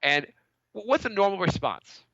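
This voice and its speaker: A man's voice: sits high, moderate pace, gravelly, dry recording, muffled recording. A man co-hosting a podcast, telling a story in casual chat and acting out other people's lines, a little congested from a cold. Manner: animated, acts out other people's lines in a raised voice. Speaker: podcast co-host.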